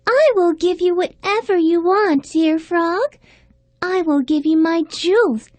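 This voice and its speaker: A woman voicing a spoiled, whiny, haughty young princess in a children's fairy tale, with exaggerated, deliberate delivery. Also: very high voice, a little mellow, no echo. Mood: pleading.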